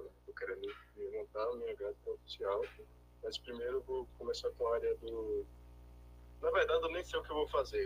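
A man's voice: mid-range voice, dull audio, a little hiss or hum. A young man, a law student, chatting as a guest on a call and cracking self-deprecating jokes. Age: young adult. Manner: joking, self-deprecating, quick comebacks. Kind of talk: casual interview over a call. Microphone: remote call.

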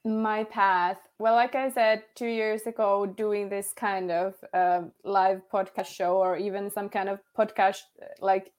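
A woman's voice: medium pitch, flat delivery, measured pace, few pauses. A woman in her thirties with a Finnish accent, a podcast guest who speaks openly, laughs often and leans on "like". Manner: laughs often, candid, says "like" a lot. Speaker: podcast guest, yoga teacher. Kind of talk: casual interview chat.

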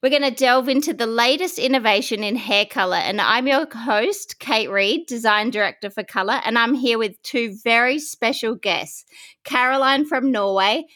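A woman hosts a podcast interview in a bright, forward voice that sits a little high. Her questions sound prepared and orderly. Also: normal speed. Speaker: podcast host.

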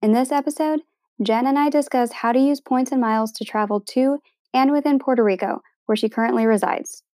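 A woman podcast host with a fairly high voice, upbeat and friendly as she introduces the show and questions her guest. Her voice is recorded dry and close. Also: a little fast, a little mellow, no echo. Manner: upbeat and friendly, reads ad copy smoothly.

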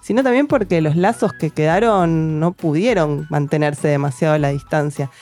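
A woman's voice: deep voice, normal speed, mellow, soft background music. Hesitant woman talking books. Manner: thoughtful, hesitant, many restarts and tag questions. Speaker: podcast co-host reviewing books. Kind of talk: casual book chat.

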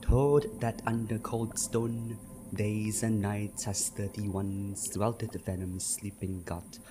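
Man playing a slow, sinister chanting witch. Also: fairly low pitch, flat delivery, rough recording. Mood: ominous chanting. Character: witch of a coven, gleefully sinister, commanding. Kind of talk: dramatic Shakespeare reading. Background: ominous music.

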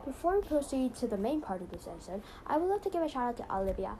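A woman's voice: a little high, very animated, fast talker, mellow, a little hiss or hum, rough recording. A young woman hosting a casual podcast, chatty and rambling with lots of "so yeah". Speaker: podcast host.

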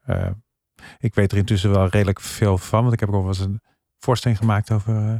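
A man's voice: fairly low pitch, level tone, quick pace, dark tone, dry recording. A man giving a casual spoken review on a panel, in a hesitant, piecemeal way.

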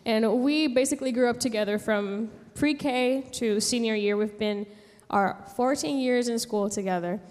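A woman's voice: a little high, moderate pace, hardly any pauses, a little mellow. Young woman in a reverberant hall. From San Juan, Puerto Rico. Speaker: speaker giving a personal testimony. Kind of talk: personal storytelling to a gathering.

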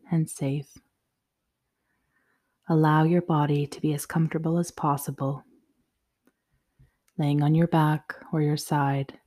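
Woman, meditation guide, low mellow voice, soothing, measured, soft-spoken. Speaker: yoga nidra guide. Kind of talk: guided meditation, slow instructions.

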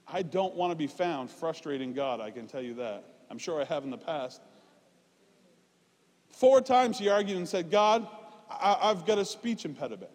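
A man preaching a conversational, informal sermon, emphatic at points and dropping into quieter, self-deprecating asides. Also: high-pitched. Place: large reverberant room, preaching live. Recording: reverberant hall sound.

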